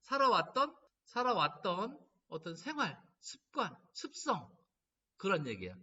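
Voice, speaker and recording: Lively high-voiced man lecturing on laughter. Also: measured pace, slightly rough. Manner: lively storytelling, animated quoting. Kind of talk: lecture to caregivers. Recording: distant mic, dull lo-fi sound.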